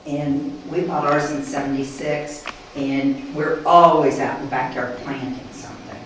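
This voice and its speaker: A man's voice: medium pitch, level tone, moderate pace, fairly bright tone. An older man in an audience casually telling anecdotes from memory. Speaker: audience member sharing memories. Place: small meeting hall, indoors. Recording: echoey, noisy, distant mic.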